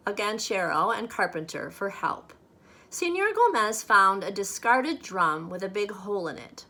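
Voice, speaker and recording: A woman reading a children's picture book aloud expressively, with measured, dramatic phrasing meant for young listeners. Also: mid-range voice, sharp tone. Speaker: storybook reader.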